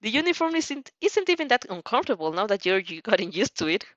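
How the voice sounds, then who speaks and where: Woman, fan fiction narrator, animated, giggly, stumbling delivery, slightly dull dry recording. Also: mid-range voice, quick pace, no echo. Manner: giggly, often stumbles over words. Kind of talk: expressive second-person story narration.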